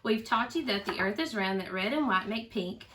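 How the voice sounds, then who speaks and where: A woman's voice: medium pitch, expressive, moderate pace, background noise, close to an everyday voice. A woman voicing an upbeat, reassuring woman schoolteacher from a storybook, full of encouragement.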